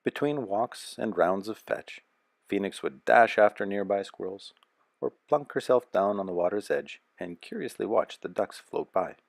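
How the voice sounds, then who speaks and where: A man with a deep, slightly gravelly voice reads a scripted children's story. His delivery is measured and expressive, and the sound is close and dry, with very little room around it. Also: no echo. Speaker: storybook narrator.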